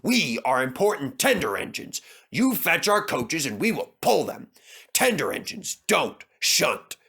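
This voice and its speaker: A man's voice: a little high, very animated, a little slow, creaky, pushed and bright, slightly rough, voice pushed up, full character voice. A man voicing a proud, pompous big male steam engine with a haughty, complaining manner in a children's story. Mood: defiant.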